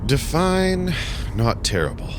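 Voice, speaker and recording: A man playing a pedantic, precise know-it-all on a road trip in an audio drama, correcting everyone flatly. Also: a little high, takes it slowly, noisy background. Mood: deadpan.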